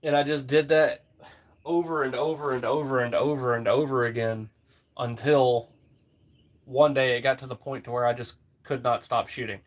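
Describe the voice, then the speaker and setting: A man in his thirties talks loosely and easily, full of fillers and half-finished thoughts. His voice sits at an ordinary middle pitch, and he keeps a relaxed, chatty flow as he shoots outdoors. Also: normal speed. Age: 30s. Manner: rambling, easygoing, filler-heavy. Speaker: livestream host. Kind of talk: casual live-stream chat while target shooting.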